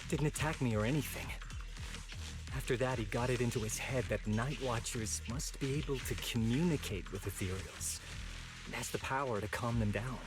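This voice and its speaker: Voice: medium pitch, lightly acted. Voice actor playing candid night watcher, anime game character, casual, curious, inquisitive, music underneath. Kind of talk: anime-style video game voice acting. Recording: music behind the voice. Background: background music.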